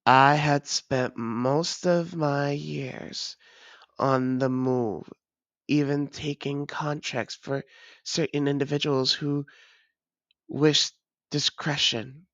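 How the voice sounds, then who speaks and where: An actor playing a weary, guarded vampire and long-lost lover, speaking in halting, formal phrases in an intimate roleplay audio drama. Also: medium pitch, steady tone, fairly bright tone, dry recording, highs cut off. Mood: firm, measured. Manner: measured, halting, formal old-fashioned phrasing.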